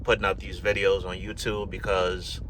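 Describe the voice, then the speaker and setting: A deep-voiced man from Ghana, middle-aged, telling his own story to a camera. His tone is bright and forceful, with easy fillers and restarts as he rambles along. There is a faint hiss of noise behind him. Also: flat delivery, normal speed. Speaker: YouTube vlogger. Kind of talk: casual personal storytelling to camera.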